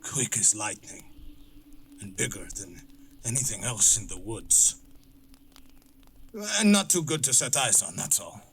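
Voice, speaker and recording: An actor playing a superstitious, uneasy wilderness guide in an audio horror drama, speaking in a halting, folksy, evasive way. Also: male voice, lowish voice, big pitch swings, a bit bright, a little hiss or hum. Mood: grudging, wary.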